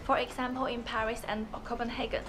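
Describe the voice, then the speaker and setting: Woman, twenties, highish voice, scripted promo, noisy room. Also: little pitch movement, quick pace. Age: 20s. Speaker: student presenter. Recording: clearly noisy room ambience.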